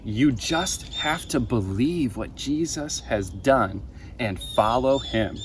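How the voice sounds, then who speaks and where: Young man reading as a slow, earnest preacher. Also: mid-range voice, expressive. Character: Paul, an earnest male preacher of grace. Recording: outdoor ambient noise.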